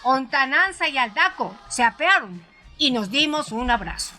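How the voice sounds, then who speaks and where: A woman narrates a story in a high, bright voice. Her delivery is dramatized yet conversational, with hesitant pauses as though telling it herself. Soft music plays behind her. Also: sing-song. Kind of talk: dramatized first-person audiobook narration.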